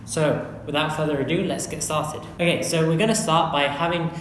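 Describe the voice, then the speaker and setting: A man's voice: fairly high pitch, fairly even intonation, brisk, slight background noise. A young man in his twenties hosting a casual vlog and asking his guests questions. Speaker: vlog host, medical student. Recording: echoey room, faint ambience.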